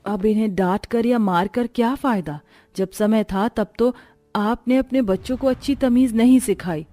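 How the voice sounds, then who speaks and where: A woman's voice: mid-range voice, warm tone, lightly acted. A woman voicing an emphatic, moralizing mother in a Hindi cartoon moral story. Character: adult mother and housemaid, moralizing and scolding. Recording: music behind the voice.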